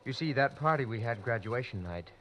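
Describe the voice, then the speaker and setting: A man's voice: mid-range voice, animated, a bit bright, a little hiss or hum, lightly acted, low-quality recording. A man playing a hesitant, troubled young man. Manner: hesitant, halting. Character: troubled gray-haired man of thirty-three. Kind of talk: 1940s radio acting.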